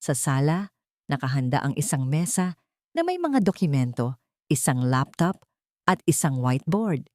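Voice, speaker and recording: A woman narrating a scripted dramatic story with deliberate phrasing, as an audiobook narrator. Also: deep voice, sing-song, a little mellow, dry recording. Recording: music bed behind a dry voice. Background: background music.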